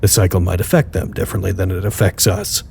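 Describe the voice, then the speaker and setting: A tired dry-witted scientist doing audio drama logs, lowish voice, formal and wry. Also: male voice, fast talker, creaky, darkish tone, slight background noise. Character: weary dutiful site researcher recording dry, wry status logs. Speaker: narrator. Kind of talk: audio drama log narration.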